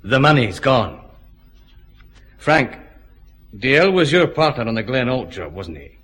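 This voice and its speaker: An actor playing an authoritative detective chief inspector in a radio drama, with a clipped, commanding delivery. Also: male voice, mid-range voice, big pitch swings, a little slow, a bit bright, exaggerated voice. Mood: grave, sympathetic. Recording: clear background noise, ambience.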